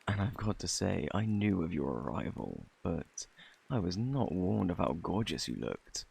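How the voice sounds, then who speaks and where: A man with a British accent playing a flirty, eccentric fortune teller in a fantasy ASMR roleplay, with a theatrical, boastful, easily flustered manner and a husky, gravelly voice. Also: low-pitched, big pitch swings, a little slow, darkish tone, full character voice. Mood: flirty, welcoming. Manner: theatrical, flustered, coaxing, old-fashioned phrasing. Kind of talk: ASMR roleplay, intimate scripted acting.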